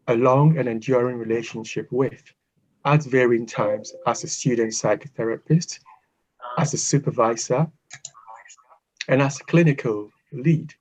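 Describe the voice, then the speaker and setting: A man from Ghana giving a prepared online lecture in measured, formal phrases with pauses. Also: mid-range voice, darkish tone. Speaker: guest speaker, psychotherapist. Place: online video call. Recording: dull, lo-fi video call audio.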